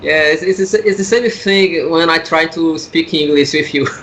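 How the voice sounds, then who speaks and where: A man from Brazil with a Brazilian Portuguese accent, a comic artist guest speaking English as a second language, halting and searching for words. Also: on the high side, fairly bright tone, a little hiss or hum, poor audio. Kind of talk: casual interview over a call.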